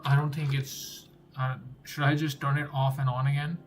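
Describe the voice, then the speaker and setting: A man's voice: medium pitch, level tone, normal speed, a little hiss or hum. A young man who is not a native English speaker, talking to himself while he works through game code, repeating words and trailing off. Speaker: game developer. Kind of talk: think-aloud coding vlog.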